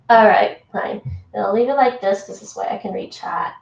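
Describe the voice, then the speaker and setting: A woman's voice: medium pitch, moderate pace, creaky, a little mellow, far from the mic, dull audio. A woman from Toronto, Canada, chatting casually with her stream chat while cooking in a home kitchen, laughing often. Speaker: livestreamer. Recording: echoey room, voice off-mic.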